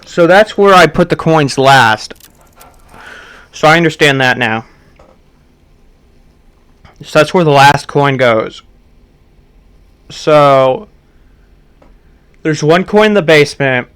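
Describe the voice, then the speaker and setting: A hoarse man who is losing his voice, casually talking through a game as he plays and working out his next steps aloud. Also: a little high, lively intonation, takes it slowly, pauses often, a bit bright, no echo. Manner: thinking aloud, methodical. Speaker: gameplay streamer. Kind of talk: casual gameplay commentary.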